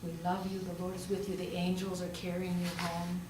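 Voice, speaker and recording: A woman's voice: lowish voice, monotone, a little fast. Woman preaching in a roomy space. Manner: conversational, with rhetorical questions and emphatic repetition. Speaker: pastor preaching, also a hospital chaplain. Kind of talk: storytelling sermon. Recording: roomy, distant pickup with faint noise.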